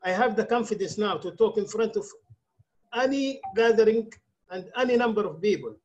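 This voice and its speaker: A man whose first language is Arabic, giving a halting speech as a club director at an online meeting, often restarting his words. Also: sits high, darkish tone, lo-fi sound. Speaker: club program quality director.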